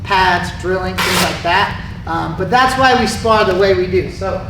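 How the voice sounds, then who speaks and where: Muay Thai coach in an echoey gym. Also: male voice, high voice, a little slow. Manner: relaxed, explanatory, shouts cues while sparring. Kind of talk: live online Q&A, coaching explanation. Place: martial arts gym. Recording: echoey gym heard from far off with noise.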